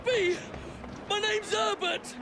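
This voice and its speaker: Frightened, shrill English lackey. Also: male voice, fairly even intonation, slow pace, exaggerated voice. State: frightened, shouting. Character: frightened male Victorian hired lackey. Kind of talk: video game cutscene acting. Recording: loud street and vehicle noise.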